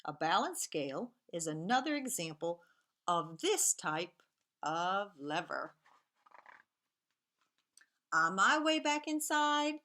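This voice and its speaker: Slow, lively-voiced woman reading to children. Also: a little high. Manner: slow, sing-song storybook reading, explaining to children. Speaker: teacher reading aloud. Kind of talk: children's read-aloud lesson.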